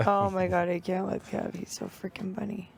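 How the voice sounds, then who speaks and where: Raspy, gravelly young woman bantering casually. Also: mid-range voice, flat delivery, normal speed, a little hiss or hum. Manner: teasing. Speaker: reality show contestant. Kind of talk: casual late-night banter.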